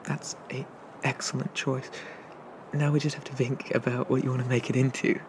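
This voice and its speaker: A man voicing a shy, friendly sea dragon barista in a soft-spoken ASMR roleplay, rambling and hesitant as he eagerly explains things. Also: medium pitch, little pitch movement, moderate pace, noisy background, natural voice. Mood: helpful, gentle.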